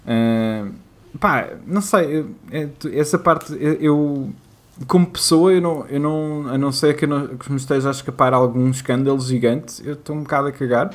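Hesitant Portuguese man, mid-pitched voice. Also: moderate pace. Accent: European Portuguese. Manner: hesitant, laughs often, casual swearing. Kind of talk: casual chat.

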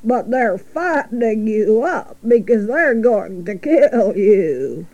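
A woman's voice: fairly high pitch, very animated, a little mellow, lo-fi sound, full character voice. A woman voicing a blunt old female sheep in a children's storybook, drawing out her words matter-of-factly. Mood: ominous, blunt. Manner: slow, drawn-out, matter-of-fact delivery.

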